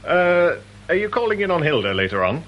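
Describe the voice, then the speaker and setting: An actor playing an unflappable British police chief inspector in a radio drama, dry, measured and guarded. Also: male voice, fairly high pitch, sing-song, lo-fi sound, slight background noise. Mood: calm, firm.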